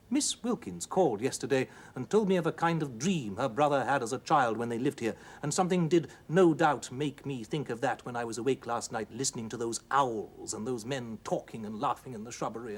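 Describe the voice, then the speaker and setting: A man's voice: a little high, breathy edge. A man voicing a troubled, genteel husband in a storybook, earnest and precise as he recounts things. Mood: musing.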